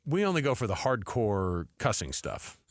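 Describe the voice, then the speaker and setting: A middle-aged American man co-hosting sports talk radio with casual, animated, colloquial banter. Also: lowish voice, normal speed, no room sound, clean studio sound. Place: radio studio. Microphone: close studio microphone.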